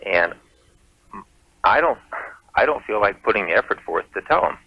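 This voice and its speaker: A man's voice: on the low side, expressive, moderate pace, gravelly, slightly rough, dry recording. A man calling in to a radio show, talking casually. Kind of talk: casual phone-in conversation. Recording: dull lo-fi call-in radio tape.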